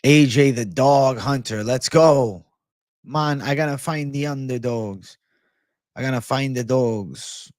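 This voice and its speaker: A man's voice: mid-range voice, slow, darkish tone, no echo, clean studio sound. A man hosting a casual livestream, chatting and answering viewers in slangy, profane, bro-heavy banter. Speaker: livestream host.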